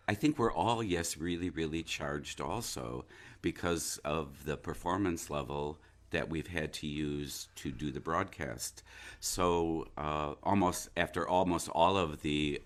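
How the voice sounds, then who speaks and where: A man who is an actor, chatting reflectively in a halting way, repeating words and restarting sentences. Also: low voice, fairly bright tone. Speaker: stage and radio actor. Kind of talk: casual reflective conversation.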